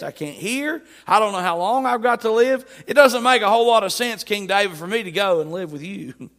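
High-voiced preacher in a reverberant hall. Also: male voice, a bit bright. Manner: emphatic preaching, paraphrasing scripture in plain talk. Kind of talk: sermon.

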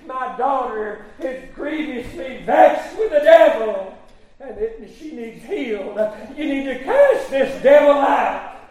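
A man preaches in a very high, strained-up register at a slow, deliberate pace. His delivery is an impassioned revivalist sermon with drawn-out, emphatic words. The sound is echoey, as in a roomy church. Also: lively intonation, a little mellow. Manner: emphatic, drawn-out words with frequent "uh" punctuating phrases.

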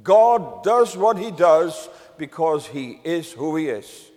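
An older man from the Netherlands preaching a sermon to a congregation, measured, with emphatic rises on key words. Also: a little high, sing-song, a little mellow, big-room sound. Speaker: pastor.

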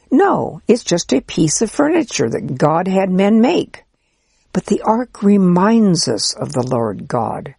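A gentle, patient old priest , as a storybook reader puts him on, explaining things kindly and earnestly. His voice is husky with a gravelly edge. The recording is close and dry. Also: medium pitch, dry recording, heavily acted. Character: kind, patient old male Israelite priest. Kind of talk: storybook character reading.

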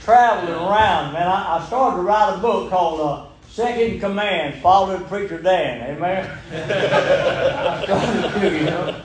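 A man's voice: sits high, a little slow, noisy background. A man, preaching a folksy sermon and calling out for amens. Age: 70s. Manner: folksy, emphatic, calls for amens. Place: church sanctuary. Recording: very echoey church room.

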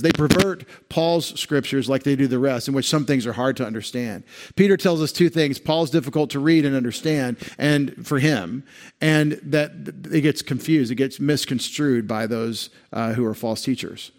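A man preaching to his congregation from the pulpit, working through Bible passages. His voice sits at an ordinary middle pitch. He often restarts his phrases and adds some light humor. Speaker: pastor. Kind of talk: sermon.

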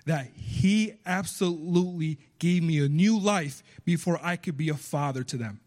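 A man's voice: a little high, moderate pace, darkish tone. Earnest man with slight Puerto Rican accent. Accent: slight Puerto Rican Spanish accent. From Puerto Rico. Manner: earnest, heartfelt, builds up emphatically. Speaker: guest preacher. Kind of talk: sermon.

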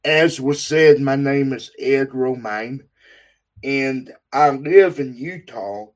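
Slow-spoken Texan man. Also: medium pitch. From Texas. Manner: slow, deliberate, earnest storytelling. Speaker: guest. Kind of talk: podcast interview.

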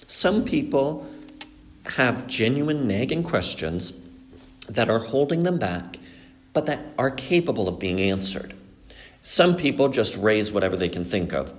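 Animated man preaching an earnest sermon. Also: medium pitch. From born in Colombia, raised in Mexico. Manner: earnest, explanatory, with emphatic rises and slowed stresses. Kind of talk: expository sermon.

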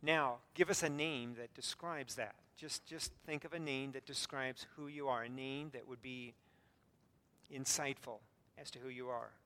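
A man preaching a sermon as pastor, earnest, with light self-deprecating humor, frequent fillers and restarts, and a voice he himself calls squeaky. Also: fairly even intonation, moderate pace, bright, forceful tone. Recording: long reverb from a hall.

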